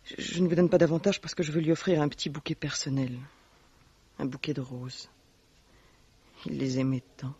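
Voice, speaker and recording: An actor playing a sentimental, grieving man with a halting, faltering delivery in a 1950s-style French radio mystery. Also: on the high side, little pitch movement, darkish tone, muffled recording, a little hiss or hum, close to an everyday voice. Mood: sorrowful. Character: shaken, grieving man paying out money, sentimental.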